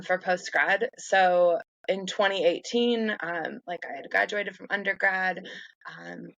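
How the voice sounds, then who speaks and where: A woman's voice: fairly low pitch, vocal fry, a bit bright, dry recording, poor audio. An American woman in her late twenties, a guest researcher speaking from home, chatty and loose with many ums and likes. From United States. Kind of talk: casual interview talk.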